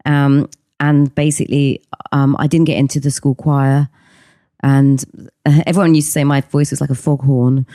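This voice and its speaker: A woman's voice: rumbling low, flat delivery, normal speed, dark tone, no echo, studio quality. A middle-aged woman, chatting as a podcast guest, hesitant with many ums. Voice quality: husky voice. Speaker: podcast guest, actress and singer. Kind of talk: casual interview chat.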